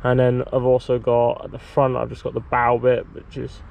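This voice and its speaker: A young man casually explaining a DIY build outdoors, talking to a handheld camera in a relaxed, rambling way. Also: mid-range voice, moderate pace, vocal fry, lo-fi sound, slight background noise. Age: young adult. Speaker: sailing vlogger. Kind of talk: casual how-to vlog. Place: outdoors in a garden, later in a shed. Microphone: handheld camera at arm's length.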